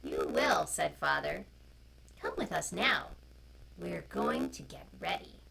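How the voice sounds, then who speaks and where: Female storyteller, very deep and wide-swinging in pitch, for informal picture-book reading over noisy streamed sound. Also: huge pitch swings, takes it slowly, creaky, bright, forceful tone. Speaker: storyteller, co-host.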